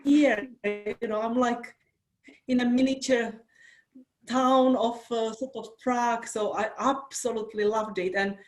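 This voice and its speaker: A woman's voice: a little high, steady tone, measured pace. A woman with a Czech accent speaks as a guest at an online workshop. She is chatty and enthusiastic, filling her talk with little asides. Her voice comes through a video call. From Czech Republic. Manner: enthusiastic, chatty, many fillers.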